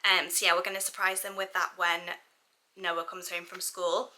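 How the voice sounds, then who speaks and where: A woman's voice: lowish voice, level tone, moderate pace, noisy background. A young British woman chatting informally in a family vlog, thinking aloud as she goes. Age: young adult. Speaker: family vlogger and mother. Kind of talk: casual vlog chat.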